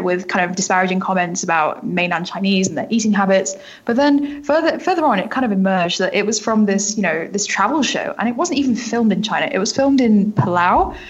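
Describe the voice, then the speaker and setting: A woman's voice: mid-range voice, slightly rough. A woman journalist explaining things as an interview guest, hedging often and leaning on filler words as she goes. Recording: dull, lo-fi audio.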